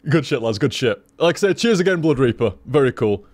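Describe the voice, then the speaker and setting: A young man with a softened Yorkshire accent, rambling casually to his stream chat, swearing freely and laughing often. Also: mid-range voice, expressive, normal speed, no echo. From Leeds, Yorkshire, England.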